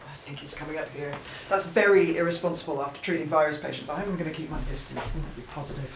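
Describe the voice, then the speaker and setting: An actress playing an anxious, disapproving working colleague in an audio play. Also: female voice, low-pitched, fast talker. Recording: echoey, distant, noisy.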